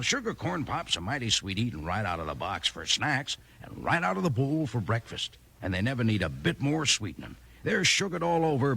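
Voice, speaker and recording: Casting: male 1950s radio ad announcer, hearty and homespun, lively intonation, husky edge. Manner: folksy, hearty sales pitch. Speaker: commercial announcer. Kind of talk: 1950s radio ad read to children.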